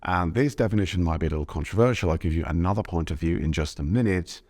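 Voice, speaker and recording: A young Englishman with an English accent, telling his own story in measured, reflective scripted narration. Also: deep voice, a little mellow, no echo, studio quality. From Britain. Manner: thoughtful, measured storytelling, switching between English and German. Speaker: vlogger.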